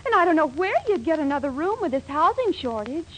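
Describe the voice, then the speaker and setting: High-voiced, plucky young American woman. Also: very animated, fast talker, rough recording. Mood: worried, exasperated. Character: plucky, scheming young sweetheart living with her mama. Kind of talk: 1940s radio sitcom acting. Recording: hissy 1940s radio recording.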